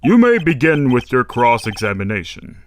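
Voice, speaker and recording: A man playing a measured, formal judge in a courtroom game fan dub, speaking deliberately over music. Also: mid-range voice, big pitch swings, slight background noise, natural voice. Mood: authoritative.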